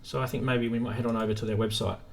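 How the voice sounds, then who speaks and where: A man's voice: medium pitch, steady tone, racing, creaky. A man hosting a live stream in a casual, informal chat, thinking aloud as he goes.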